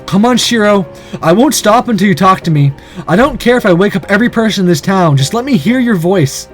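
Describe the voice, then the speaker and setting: A man's voice: sits high, animated, moderate pace, a little mellow, soft background music. A man voicing an anxious, earnest young man pleading desperately in a visual novel. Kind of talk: dramatic visual novel character reading.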